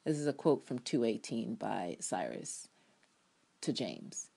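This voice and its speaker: A woman with a low voice reads her own review essay aloud. Her delivery is emphatic and dramatic, with firm opinions stated as commentary. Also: big pitch swings, slow pace, vocal fry, mellow, breathy edge. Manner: emphatic, opinionated, acts out quotations. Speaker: TV show reviewer.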